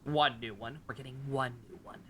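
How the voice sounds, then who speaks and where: A woman's voice: a bass voice, a little fast, fairly bright tone, slight background noise. A woman live-streaming a game with casual, joking, sweary, rambling commentary. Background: game music.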